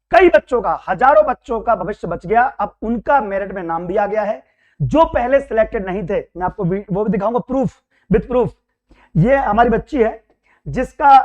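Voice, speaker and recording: Hoarse, excited man from Madhya Pradesh. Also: high-pitched, muffled recording. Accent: Hindi from Madhya Pradesh. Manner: excited, reassuring, repeats phrases. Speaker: coaching teacher giving exam result updates. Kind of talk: casual direct-to-camera update.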